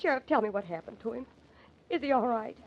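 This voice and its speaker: Distraught young heroine, 1940s-style radio drama; high, swooping, pleading, lo-fi recording. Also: female voice, animated, quick pace, a little hiss or hum. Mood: anxious, insistent. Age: young adult. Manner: pleading, breathlessly emotional. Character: distraught young woman, loyal to her boyfriend. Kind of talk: old-time radio drama acting. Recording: dull, lo-fi old radio recording.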